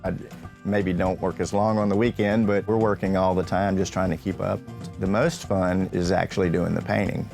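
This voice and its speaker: A man's voice: sits low, vocal fry, darkish tone. A plain-spoken man, an artist talking about his work in a documentary interview. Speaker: artist, illustrator and painter. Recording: music behind the voice. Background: plucked guitar music.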